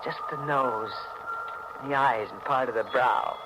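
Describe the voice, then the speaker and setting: An actor playing a haunted, intense husband drawn toward murder, narrating a 1940s radio thriller in a dramatic, brooding, halting way. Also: male voice, medium pitch, very noisy, close to an everyday voice. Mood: feverish, awed. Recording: old 1940s radio recording with music.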